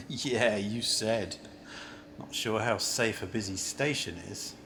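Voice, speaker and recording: A man's voice: on the low side, slow pace, fairly bright tone, a little hiss or hum. A man playing a brusque, chatty city banker in an amateur radio play, grumbling and asking blunt questions. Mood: defensive, cynical.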